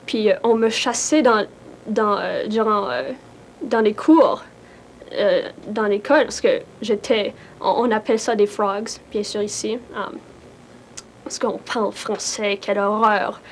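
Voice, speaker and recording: A woman's voice: mid-range voice, a little slow. A woman from Ontario recounting in an interview, hesitant with many fillers.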